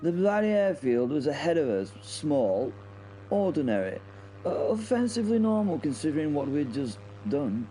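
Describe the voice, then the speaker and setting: A man's voice: a little high, very animated, normal speed, mellow, faint music behind, poor audio. A man playing a flustered British wing commander, telling his story hesitantly, stammering and repeating himself. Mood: mournful, halting. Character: flustered, stammering male wing commander pilot recounting a mission. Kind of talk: dramatic first-person narration in audio drama.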